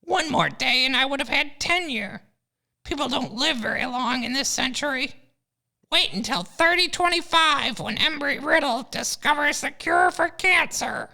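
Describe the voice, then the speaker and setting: A woman's voice: high voice, lively intonation, moderate pace, gravelly, pushed and bright, full character voice. A woman voicing a scheming, arrogant male eagle professor who is a villain, taunting and gloating in an amateur comedy audio drama.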